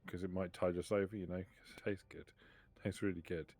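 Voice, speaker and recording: A man's voice: low-pitched, little pitch movement, fairly quick, a little mellow. A man playing in a tabletop roleplaying game, chatting casually in character.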